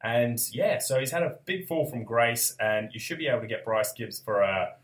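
A young Australian man hosting a relaxed sports podcast, his voice a little gravelly as he gets over a cold. He talks in a chatty, easygoing way, laughs often and restarts his sentences mid-thought. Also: medium pitch, moderate pace. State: recovering from a cold, slightly gravelly. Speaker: podcast host. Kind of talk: casual sports chat.

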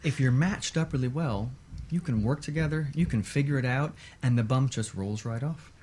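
A man of medium pitch giving conversational answers as a guest therapist on a talk show. He is agreeable and affirms points emphatically, with faint noise in the background. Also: moderate pace, dark tone. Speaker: guest psychotherapist.

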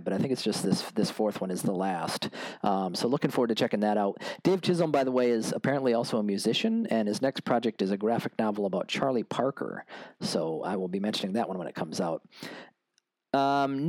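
Man, podcast host, gravelly and nasal, mid-pitched, dry close sound, cheap home mic. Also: dry recording. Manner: relaxed and chatty, with many fillers and restarts. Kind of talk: casual solo podcast. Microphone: basic home microphone. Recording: dry, poor-quality home microphone.

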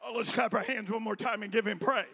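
Male pastor, fiery exhorting preacher, high raspy voice, sharp edge, shouts often. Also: steady tone, fast talker, some chatter behind, a little hiss or hum. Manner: shouted exhortation, urging the congregation to praise. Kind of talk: fiery Pentecostal sermon. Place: church sanctuary.

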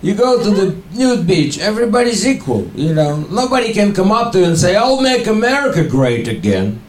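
Man with a Russian accent, deadpan. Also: high voice. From Ukraine. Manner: deadpan, halting, with many fillers. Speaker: stand-up comedian. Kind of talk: stand-up set. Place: comedy club with a crowd. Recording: echoey club, loud room noise.

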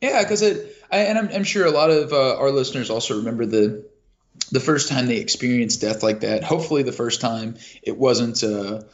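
A man's voice: mid-range voice, animated, fairly quick. A man from Kentucky co-hosting a casual podcast, rambling with many restarts and fillers. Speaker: podcast co-host. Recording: lo-fi muffled audio.

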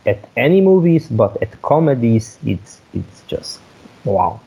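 A man's voice: mid-range voice, very animated, a little slow, vocal fry, husky, phone-quality sound. A man in a casual chat, hesitant and full of fillers as he searches for words. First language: Hungarian. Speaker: guest.

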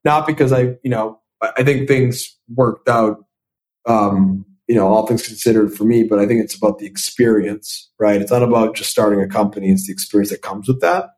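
Young male investor guest, low-ish voice, reflective, restarts sentences often. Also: steady tone, fairly quick, darkish tone. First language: Italian. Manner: thoughtful, repeats words when starting sentences. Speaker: venture capital investor, guest. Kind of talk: podcast interview answers.

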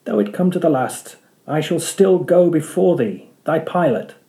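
A mysterious seafaring prophet voiced by a male reader speaks in solemn, archaic warnings. His lines are ominous and grave, pitched above the plain narration of a sea novel. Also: animated, measured pace, vocal fry, dark tone.

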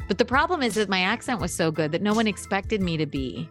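A woman's voice: medium pitch, quick pace, faint music behind. A woman from the United States, a podcast guest, telling stories in a casual interview and acting out quoted dialogue. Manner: lively storyteller, acts out quoted dialogue.

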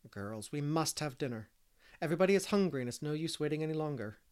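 Man as a stern, brisk older woman, raised pitch. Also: expressive, a little mellow, clear, resonant tone, close to an everyday voice. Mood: brisk, cross. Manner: scolding, firm, matter-of-fact.

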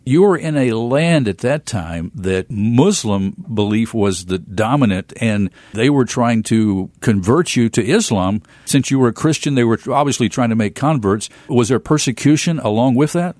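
American radio host, dry studio sound. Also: male voice, medium pitch, animated, normal speed, few pauses, darkish tone, no room sound.

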